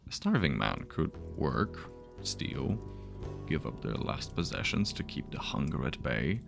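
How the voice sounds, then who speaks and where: A man voicing a sly, patient, menacing supernatural bargainer who speaks in deliberate, philosophical and teasing turns. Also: extremely low pitch, very animated, creaky, music in the background, full character voice. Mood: patient, probing.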